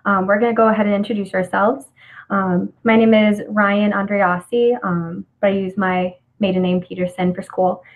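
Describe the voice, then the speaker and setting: Female student presenter, 20s, from the US. Mid-pitched voice, measured read of academic material. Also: little pitch movement, narrow, tinny audio. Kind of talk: scripted study presentation.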